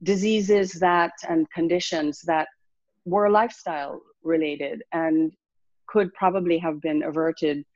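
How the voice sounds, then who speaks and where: A woman's voice: low-pitched, steady tone, darkish tone, no echo, dull audio. A woman in her sixties from Jamaica with a Jamaican accent, a wellness coach reflectively telling her life story as an interview guest. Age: 60s. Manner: reflective, unhurried, many fillers and restarts.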